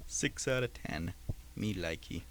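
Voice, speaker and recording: A man's voice: deep voice, animated, measured pace, background noise. A man co-hosting a casual discussion podcast in a jokey, playful manner. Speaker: podcast co-host.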